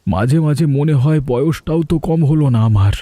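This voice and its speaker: A man's voice: on the high side, expressive, brisk, mellow, no room sound. A man voicing an anxious, weary, aging village father in a cartoon story, worried and despondent.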